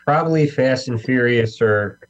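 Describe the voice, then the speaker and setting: A man's voice: medium pitch, normal speed. A man being interviewed as a guest, giving short, slightly hesitant answers in casual speech. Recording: muffled lo-fi sound with mains hum.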